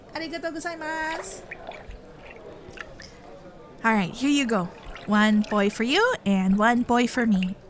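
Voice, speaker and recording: Woman acting a teasing kitsune girlfriend, lively and unhurried. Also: high-pitched, a little hiss or hum, full character voice. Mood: cheerful, polite, then competitive, playful.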